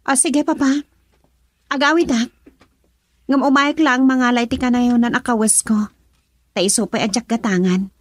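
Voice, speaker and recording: An actress plays a young Ilocano woman in a radio love drama, dutiful and wounded in love. Her voice sits high, with an Ilocano accent, recorded close and dry. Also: steady tone, moderate pace, long pauses, no echo, natural voice. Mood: sorrowful.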